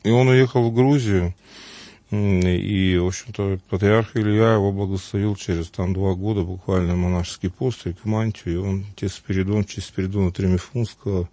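Priest from Uglich: low-pitched man, unhurried anecdotes, close dry mic. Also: fairly even intonation, dark tone, no echo. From Uglich, Russia. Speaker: Orthodox priest. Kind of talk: conversational storytelling.

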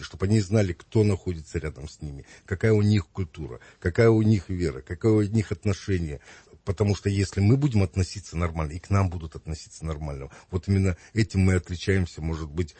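Middle-aged male guest, earnest and reflective, dark husky voice. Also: low-pitched, fast talker, dry recording. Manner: earnest, reflective, many hesitations. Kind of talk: earnest conversational opinion.

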